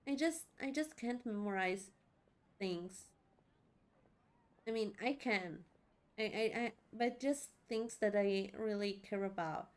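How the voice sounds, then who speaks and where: A woman's voice: mid-range voice, slow, long pauses, smooth. A young woman doing casual let's play commentary as a streamer, informal and sweary.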